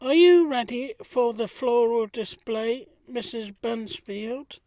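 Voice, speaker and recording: A woman playing a plain-spoken, kindly young man in a 1919 audio drama, earnest and measured. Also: on the high side, background noise. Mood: matter-of-fact.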